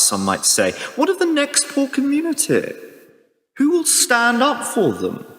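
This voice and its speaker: A man playing an earnest local campaigner making a deliberate, rhetorical speech to a public meeting in a radio play. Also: mid-range voice, a bit bright, lightly acted. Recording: echoey hall with long reverb.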